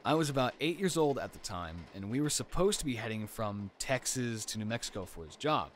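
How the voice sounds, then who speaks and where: Stormy ambient noise sits behind a young boyfriend played by a man with a medium-pitched voice. He is easygoing and affectionate, spinning spooky stories in a loose, slangy, conversational way. He pauses to think, as in an intimate roleplay audio made for one listener. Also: animated, close to an everyday voice. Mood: amused, animated. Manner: casual, slangy, hesitant pauses, storytelling aloud.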